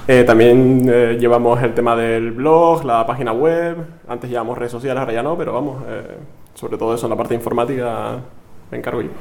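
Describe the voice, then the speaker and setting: A man's voice: medium pitch, fairly even intonation, a little fast, a little mellow. Man from tenerife, does the web stuff, lots of ums. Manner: hesitant, uses fillers. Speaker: handles blog and website. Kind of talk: interview answer.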